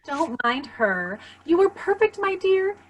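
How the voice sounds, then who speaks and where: A woman's voice: very high-pitched, sing-song, a little slow, full character voice, rough recording. A woman playing a haughty stepmother with a cutting, theatrical manner in a fairy-tale play reading. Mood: doting, reassuring. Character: haughty, scolding stepmother doting on her daughter. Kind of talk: staged reading of children's play.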